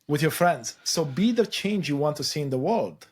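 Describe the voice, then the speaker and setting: A man's voice: on the high side, expressive, normal speed, slightly rough. A man from Romania, co-hosting a podcast in a casual, reflective way and often checking in with "right?". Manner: affirming, reflective, tags statements with "right?". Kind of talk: casual conversational podcast chat.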